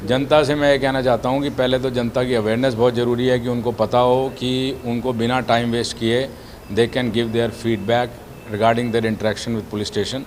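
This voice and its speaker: A man's voice: mid-range voice, flat delivery, a little slow. A man speaking as a police official in an explanatory media statement, mixing English words and phrases into his speech. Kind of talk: spoken statement to news media.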